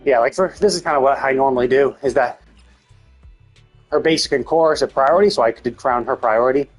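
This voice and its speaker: Husky man rambling on a game stream. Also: medium pitch, a little fast. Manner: rambling, thinking aloud. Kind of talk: casual livestream gameplay chat. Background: music.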